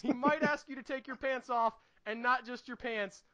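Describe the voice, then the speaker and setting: High-pitched, bright-voiced man chatting. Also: steady tone, moderate pace, lo-fi sound. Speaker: podcast co-host. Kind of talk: casual comedic chat and anecdote telling.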